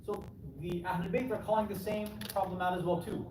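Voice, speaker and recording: Higher-voiced man preaching in echoey hall. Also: fairly even intonation, a little fast. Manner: colloquial, checking in with "right?" and voicing imagined replies. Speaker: preacher addressing a congregation. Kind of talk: conversational English sermon with rhetorical questions. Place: Islamic center hall. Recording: echoey hall, distant mic, clearly noisy.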